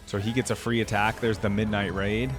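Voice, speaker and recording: A man's voice: fairly low pitch, level tone, fairly quick, music in the background. A man hosting a reaction video, giving informal, chatty commentary and thinking aloud as he goes. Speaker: YouTube reaction host.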